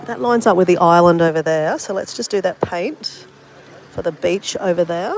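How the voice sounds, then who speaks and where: A woman's voice: lowish voice, moderate pace, slight background noise, rough recording. Chatty Australian woman with a sniffly cold. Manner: chatty, self-mocking, talks straight to viewers. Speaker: travel vlogger. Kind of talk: casual livestream chat while painting. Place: outdoors by the sea on an island.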